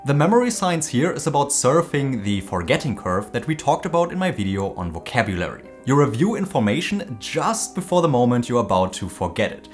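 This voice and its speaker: Lively German man hosting a video. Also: mid-range voice, a little fast, few pauses, soft background music. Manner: lively, animated explaining. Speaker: language learning host. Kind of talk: scripted video essay.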